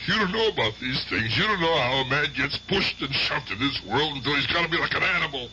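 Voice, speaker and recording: An actor playing an older gangster uncle, guilty and haunted, in an agitated, pleading style of old-time radio drama. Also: male voice, fairly high pitch, lively intonation, fast, pushed and bright, a little hiss or hum, poor audio.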